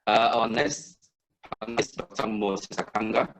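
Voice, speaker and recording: A man's voice: on the low side, level tone, unhurried, background noise. A man hosting an online panel, introducing each guest in a hesitant way with restarts. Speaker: host, moderator.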